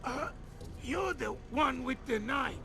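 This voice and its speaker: Frightened, high-voiced stammering guard. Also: male voice, expressive, creaky, over music, exaggerated voice. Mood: scared, reluctant. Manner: halting, stammering. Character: frightened, pleading male guard held at knifepoint. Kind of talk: video game voice acting.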